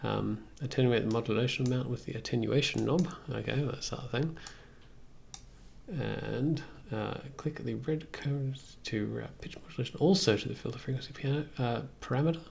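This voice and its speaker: A man's voice: mid-range voice, normal speed, vocal fry, a little mellow, a little hiss or hum. A young man giving a casual live tutorial, thinking aloud in a musing way as he streams. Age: young adult. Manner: musing, reads aloud then comments. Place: small room indoors at computer desk.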